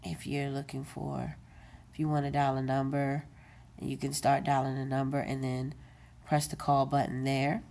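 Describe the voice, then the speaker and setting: A woman's voice: extremely low pitch, level tone, moderate pace, creaky, darkish tone. A woman giving an unscripted how-to demonstration of a gadget, talking casually with many fillers and self-corrections. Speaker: tech video presenter.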